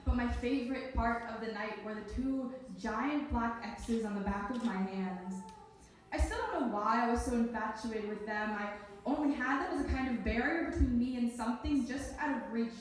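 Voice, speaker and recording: Female spoken-word performer, slightly high voice, off-mic in a very reverberant room. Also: moderate pace. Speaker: slam poet. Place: echoey indoor event space, live performance. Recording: very echoey room, distant mic.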